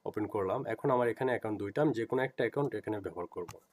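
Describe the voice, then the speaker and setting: A man with a Bangladeshi accent giving an informal software tutorial, explaining step by step and repeating himself as he teaches. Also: lowish voice, very fast, slight background noise.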